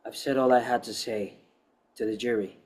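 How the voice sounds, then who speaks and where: A man playing a smug, sadistic office worker in his twenties in a crime drama read, mocking his captor with drawn-out taunts. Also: mid-range voice, steady tone, darkish tone, close to an everyday voice. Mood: defiant.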